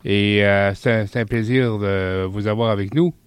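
A man's voice: on the low side, fairly even intonation, moderate pace, clean tone, dry recording. Male radio host, dry. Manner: friendly questioning, some fillers. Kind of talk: radio interview. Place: radio studio. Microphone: studio mic.

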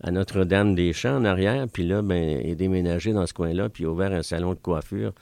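Older man, Franco-Ontarian accent, low voice, dry studio mic, chatty, rambling. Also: quick pace, creaky, darkish tone, no room sound. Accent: Franco-Ontarian French. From Ontario, Canada. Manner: chatty, rambling, many fillers. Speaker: radio host guest. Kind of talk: casual interview answers. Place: radio studio.

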